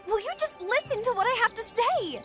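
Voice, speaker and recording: A woman's voice: very high-pitched, expressive, fairly quick. A woman voicing a plucky, outspoken little girl in a video game, earnest in manner. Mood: indignant. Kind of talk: video game cutscene acting. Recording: music clearly behind the voice.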